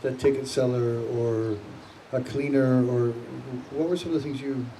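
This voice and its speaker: A man hosts a panel in a chatty, upbeat way, tossing in quick remarks. His voice is very dark and mellow. A faint hum sits under the room. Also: medium pitch, steady tone, distant mic, low-quality recording. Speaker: panel moderator. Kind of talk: casual panel hosting.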